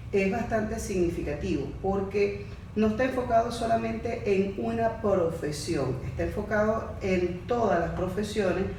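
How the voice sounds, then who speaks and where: A woman, a course facilitator explaining on a podcast in a measured way that restates her points. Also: medium pitch, dark tone, echoey room, a little hiss or hum, poor audio.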